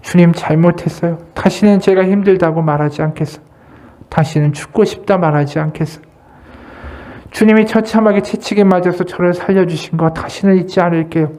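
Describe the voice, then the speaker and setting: High-voiced man preaching earnestly. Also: fairly even intonation, dark tone. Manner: earnest, exhorting, measured phrasing in short pieces. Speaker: associate pastor preaching. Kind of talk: Sunday sermon with read-aloud testimony and prayer.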